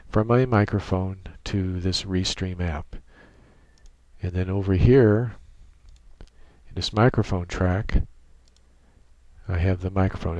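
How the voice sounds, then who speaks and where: A man giving an unscripted software tutorial in a methodical, technical way with halting phrasing, close on a USB microphone. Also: low voice, dry recording. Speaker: tutorial presenter.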